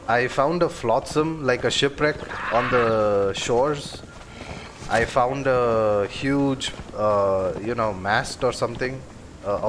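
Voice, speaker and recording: Slow, rambling male game streamer. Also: mid-range voice, noisy background. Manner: unhurried, rambling, many fillers. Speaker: gameplay streamer. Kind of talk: casual live-stream commentary.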